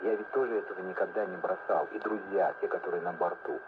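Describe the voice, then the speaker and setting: A man with a deep voice speaks quickly on an AM radio broadcast. The sound is narrow and tinny, buried in loud noise. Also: steady tone. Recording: very noisy, narrow AM radio with hum.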